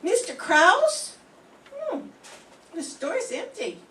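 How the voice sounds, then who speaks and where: A woman's voice: very high-pitched, very animated, extremely slow, a bit bright, slight background noise, heavily acted. Older woman as a wide-eyed scatterbrained reporter. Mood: naive. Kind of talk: amateur radio drama reading. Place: classroom. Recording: echoey room, far from mic.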